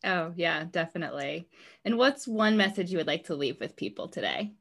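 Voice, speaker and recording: Female podcast host, mid to slightly low register, casual conversational delivery. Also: expressive, a little fast.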